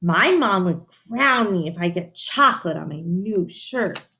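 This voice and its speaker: A woman's voice: on the low side, lively intonation, unhurried, pushed and bright, slight background noise. A woman voicing a fussy young schoolgirl in a children's storybook, speaking politely. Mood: apologetic.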